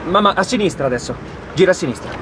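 An actor playing a brisk, dismissive teenage son in an audio drama. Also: male voice, high-pitched, expressive, over a noisy crowd. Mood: curious. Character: dismissive, curious teenage son riding in a car. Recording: loud car and traffic noise.

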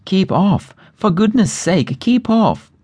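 Man as alarmed young woman, storybook character, urgent cries, high animated pitch. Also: slow pace, full character voice. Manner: urgent crying out. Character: alarmed young sisters crying warnings.

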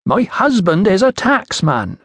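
Audiobook role: proud, indignant ancient tribeswoman, played by a male narrator, raised pitch, slow and emphatic, blunt. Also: sing-song, a bit bright, breathy edge, no echo, full character voice. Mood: insistent, indignant.

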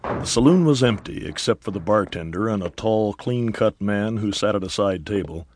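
A man's voice: on the low side, animated, moderate pace, darkish tone, slight background noise. A man narrating a dramatized Western story in scripted audiobook style.

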